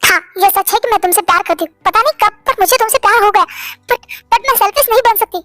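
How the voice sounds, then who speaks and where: A woman's voice: very high voice, quick pace, a bit bright, dry recording, clean studio sound, soft background music. A narrator voicing an anxious young mother who apologizes and stammers through her words. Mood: earnest. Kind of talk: storybook drama reading.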